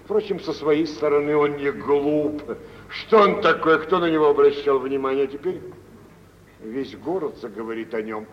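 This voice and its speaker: An actor playing a self-important, wealthy older gentleman, pompous and deliberate, in an old theatrical radio drama. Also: a little high, lightly acted. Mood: disapproving, pointed. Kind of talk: 1940s theatrical radio acting. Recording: dull, noisy vintage radio play recording.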